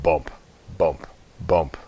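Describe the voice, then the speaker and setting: A man reading a first-person children's story aloud with expressive narration. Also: very deep voice, very slow, husky, muffled recording. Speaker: audiobook reader.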